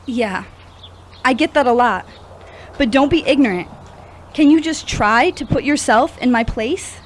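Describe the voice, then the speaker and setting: A woman's voice: high-pitched, unhurried, a little hiss or hum. A woman playing a wounded, confessional young woman in her twenties in an audio drama, speaking in an earnest, confiding way. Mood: vulnerable, pleading. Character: wounded, confessional twenty-four-year-old woman, recovering addict. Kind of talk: scripted audio drama acting.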